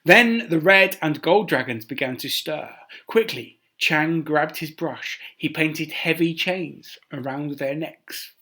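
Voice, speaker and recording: A man reading a children's story aloud as a teacher, expressive and with dramatic emphasis. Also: a little high, takes it slowly, hardly any pauses.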